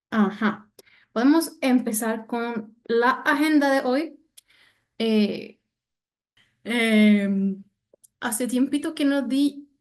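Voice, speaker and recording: A woman with a fairly high voice gives an online lecture in a language that is not her first. She speaks slowly and carefully, pausing often on fillers as she finds her words. She sounds like she is on a video call. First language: not Spanish. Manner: hesitant, many fillers, careful non-native Spanish. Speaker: guest speaker, senior analyst.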